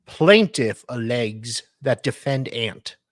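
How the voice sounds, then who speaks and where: Man, co-host, slow measured delivery, formal document reading, casual asides, dry sound. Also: mid-range voice, expressive, dry recording. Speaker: co-host and reader of legal documents.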